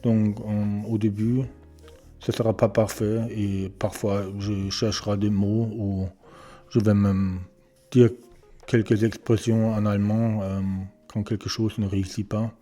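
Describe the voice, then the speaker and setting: German man, non-native French with German accent, halting delivery, slow, low dark voice, home workshop setting. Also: flat delivery, faint music behind. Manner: hesitant, searching for words with many fillers. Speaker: electronics repair beginner presenting his channel. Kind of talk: casual self-introduction and workshop tour.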